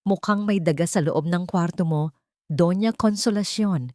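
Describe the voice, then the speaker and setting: A woman's voice: on the low side, moderate pace, dark tone, no room sound, clean studio sound, natural voice. A woman voicing a cool, composed wronged billionaire woman in a story, cold and cutting. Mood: calm, cutting.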